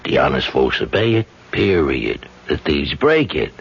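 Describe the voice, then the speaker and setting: A gravelly, deep gangster. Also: male voice, big pitch swings, heavily acted. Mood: menacing. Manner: slow, threatening, street-talking. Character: gruff male hired gangster, menacing and blunt. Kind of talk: 1970s radio drama acting. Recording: dull vintage radio broadcast.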